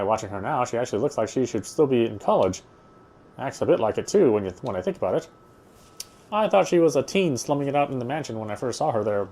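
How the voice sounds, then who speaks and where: A man's voice: mid-range voice, dark tone, husky. A man narrating a visual novel as he plays it, reading the prose aloud with casual asides.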